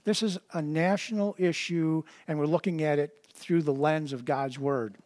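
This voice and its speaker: High-pitched male preacher, conversational, stumbles into sentences, reads verses slowly. Dry recording. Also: fairly even intonation, a little mellow, no room sound. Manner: conversational, repeats words when starting sentences; reads scripture slowly and deliberately. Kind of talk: sermon with scripture reading.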